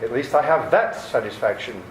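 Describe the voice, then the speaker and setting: A man's voice: medium pitch, lively intonation, normal speed, slight background noise, natural voice. A man playing a bitter, smugly menacing killer in an old-time radio comedy, recorded in a roomy space. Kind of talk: 1940s radio comedy acting.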